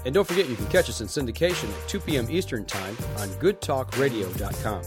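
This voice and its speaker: A man's voice: mid-range voice, sing-song, quick pace, music in the background. A man hosting a hunting podcast from a cabin, chatting casually while fighting a head cold. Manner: laid-back, reads sponsors and viewer comments. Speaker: podcast host. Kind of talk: casual chat.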